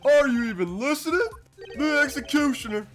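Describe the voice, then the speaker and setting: A man's voice: very high voice, expressive, brisk, fairly bright tone, soft background music. A man voicing a smug, menacing corporate blackmailer in a pompous, condescending manner for a video game fan dub. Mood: imperious.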